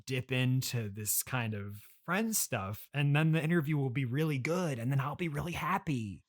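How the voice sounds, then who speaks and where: A man hosting an improvised comedy interview, speaking in a halting, awkwardly polite, stammering way. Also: mid-range voice, expressive. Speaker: podcast host and interviewer.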